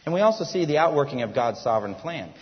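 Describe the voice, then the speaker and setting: A man's voice: a little high, sing-song, quick pace. A man preaching a sermon as pastor, earnest and emphatic, often asking his listeners rhetorical questions. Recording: dull lo-fi church hall recording.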